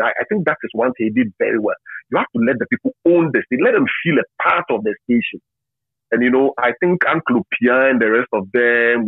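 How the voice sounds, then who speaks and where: A middle-aged Ghanaian man giving reflective interview answers, halting and repeating phrases for emphasis. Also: medium pitch, slightly rough, no echo. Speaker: interview guest, media and marketing professional. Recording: tinny narrow phone-like line.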